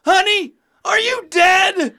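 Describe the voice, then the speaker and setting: A man's voice: extremely high pitch, takes it slowly, creaky, sharp tone, full character voice. A man playing an awkward, nervous boyfriend in a comic radio play, stammering and flustered. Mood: terrified. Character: panicky, awkward Midwestern boyfriend, freshly dead without knowing. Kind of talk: comic radio theater acting.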